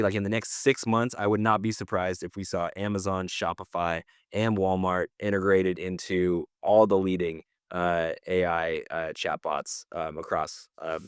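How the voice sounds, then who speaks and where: A man's voice: low-pitched, clear, resonant tone, dry recording, clean studio sound. A man co-hosting a podcast in casual conversation, giving slangy opinions and thinking aloud. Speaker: podcast co-host.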